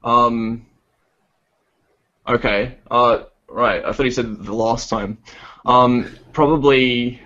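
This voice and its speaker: Young man, podcast guest, hesitant with many ums, flat voice, dull call audio. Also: medium pitch, takes it slowly, pauses often. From Australia. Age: 20s. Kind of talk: casual podcast chat. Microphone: online call.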